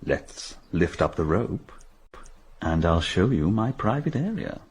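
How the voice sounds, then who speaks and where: An actor playing a slow, suggestive man in a radio drama, acting as a guide to his own quarters. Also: low-pitched, big pitch swings, darkish tone, a little hiss or hum, full character voice. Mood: inviting.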